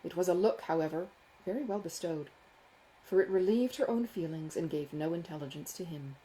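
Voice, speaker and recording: A woman reading a classic novel as an audiobook, expressive and carefully phrased in a measured literary manner. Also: fairly low pitch, a little hiss or hum, rough recording. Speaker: audiobook narrator, author.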